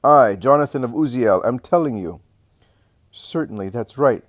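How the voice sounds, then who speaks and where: A man's voice: mid-range voice, animated, moderate pace, no echo, clean studio sound, close to an everyday voice. Man voicing male religious elder, pompous, insinuating, mocking, insistent, dramatic storybook reading. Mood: pompous, stern. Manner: insistent, smooth-tongued questioning.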